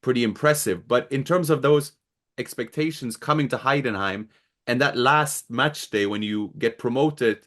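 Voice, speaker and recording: Guy hosting a football podcast, rambly friendly questions, laughs a lot, normal pitch. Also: normal speed, a bit bright. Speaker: podcast host. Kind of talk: casual interview.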